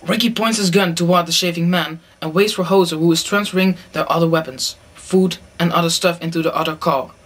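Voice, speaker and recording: A man with a high-pitched voice narrates a radio play. He reads scripted story passages in the third person, linking the dramatic scenes. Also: steady tone, fairly quick, few pauses, a bit bright.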